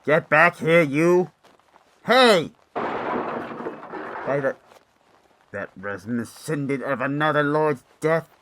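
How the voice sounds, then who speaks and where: A man's voice: a little high, animated, slow, long pauses, a bit bright, slight background noise. A man playing an irascible, haughty lord in an audio drama, with an indignant, theatrical manner. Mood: furious. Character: irascible, haughty lord guarding his precious artifacts.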